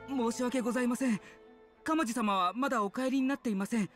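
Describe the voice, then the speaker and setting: Anxious, deferential young retainer. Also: male voice, very high-pitched, quick pace, fairly bright tone, soft background music. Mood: worried, deferential. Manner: humble, apologetic, worried. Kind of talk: Japanese anime game voice acting.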